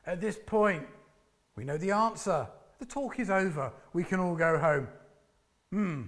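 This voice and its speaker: A man preaching a sermon with a loud, booming voice, pacing about and sometimes dropping to a soft prayerful tone. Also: animated, unhurried. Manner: drops to soft hushed prayer voice.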